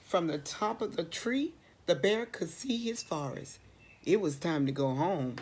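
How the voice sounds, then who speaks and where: A woman reads a children's storybook aloud in a slightly low voice. She goes very slowly and dramatically, repeating phrases for emphasis and putting questions to her young listeners. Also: expressive, darkish tone, slight background noise. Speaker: storybook read-aloud reader.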